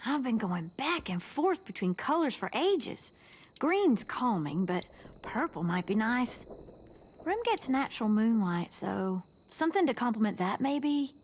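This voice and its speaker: A woman's voice: fairly high pitch, very animated, unhurried, a little hiss or hum. A woman playing a chirpy, bustling teenage party organizer in a video game, with an upbeat, folksy manner. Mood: upbeat, thoughtful.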